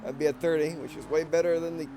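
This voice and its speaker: Man, Southern-Russian accent, slightly high voice, rambling gameplay commentary, car engine noise behind. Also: quick pace. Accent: mixed Southern and Russian. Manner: rambling, self-correcting, excitable reactions. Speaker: let's play gamer.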